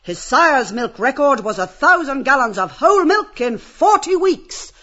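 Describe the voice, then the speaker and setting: High-pitched, swooping, domineering bully. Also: male voice, very animated, measured pace, sharp tone, muffled recording, exaggerated voice. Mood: brash hawking. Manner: taunting, bullying, theatrical barking. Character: domineering, jeering, cruel brothel boss turned auctioneer. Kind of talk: theatrical audiobook character reading.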